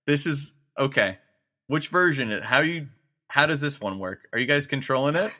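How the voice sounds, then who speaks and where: A man's voice: mid-range voice, lively intonation, normal speed, bright, forceful tone. A man chatting casually as a guest in a podcast skit.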